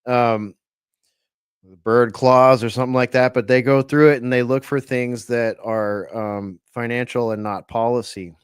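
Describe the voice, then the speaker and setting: A man's voice: mid-range voice, fairly even intonation, unhurried, clear, resonant tone, no echo, clean studio sound. A man co-hosting an online talk show, chatting casually about politics with lots of fillers and restarts. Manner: rambling, hesitant, many fillers. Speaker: podcast co-host.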